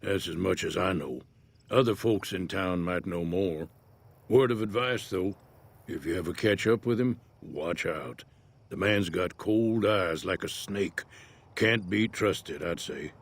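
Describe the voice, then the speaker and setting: Old Western prospector, American accent, low-pitched, rough husky voice, laconic folksy delivery, easygoing temper, game dialogue. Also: male voice, unhurried, exaggerated voice. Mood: easygoing, wary. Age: older. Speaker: game character, townsfolk prospector. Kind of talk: video game character dialogue.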